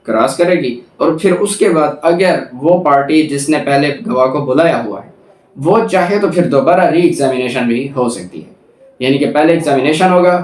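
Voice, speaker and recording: Echoey, distant Pakistani male lecturer. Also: mid-range voice. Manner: methodical, repeats and rephrases each point. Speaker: law lecturer. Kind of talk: explanatory lecture, reading statutes aloud and explaining them. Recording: echoey room, distant microphone.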